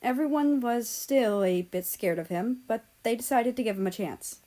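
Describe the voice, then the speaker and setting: A woman reading fiction aloud as an expressive narrator. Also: on the high side, moderate pace. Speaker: story narrator.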